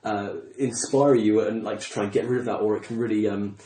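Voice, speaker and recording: Lowish-voiced man chatting, echoey room. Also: fairly even intonation, fairly quick, dark tone. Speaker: podcast host. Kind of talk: casual interview chat. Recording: roomy echo, faint background noise.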